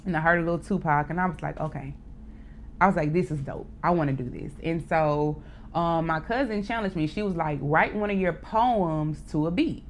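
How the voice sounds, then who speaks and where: Deep-voiced young woman, candid. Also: moderate pace, slight background noise. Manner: relaxed, candid storytelling with frequent "like" and "um". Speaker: interview guest, poet and rapper. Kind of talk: casual interview chat.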